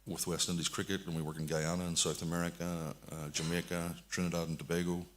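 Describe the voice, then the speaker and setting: A man's voice: low-pitched, flat delivery, fast talker. A man with a Northern Irish accent introducing himself as a security manager on a panel, matter-of-fact. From Northern Ireland.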